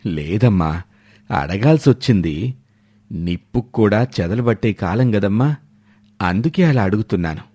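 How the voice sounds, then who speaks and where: A man's voice: fairly low pitch, expressive, moderate pace, long pauses, warm tone, slightly rough, pitched below the performer's own voice. A man voicing a concerned elderly father in a family storybook tale. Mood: concerned, gentle. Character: elderly father, concerned, slow-spoken village in-law.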